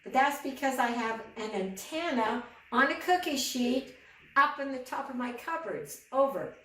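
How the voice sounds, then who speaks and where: A woman testing family two-way radios at home, speaking haltingly, laughing often and closing her turns with "over". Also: high voice, lively intonation, a bit bright. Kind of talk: casual radio check-in. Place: home, indoors, later on a porch. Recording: echoey room, distant mic, background noise.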